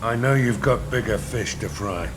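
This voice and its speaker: Casting: male actor as hard-bitten older gun for hire, rough voice, clipped menace, video game, clear background hum. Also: on the low side, moderate pace, lightly acted. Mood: matter-of-fact. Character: gruff, hard-bitten older male mercenary, cynical and ruthless.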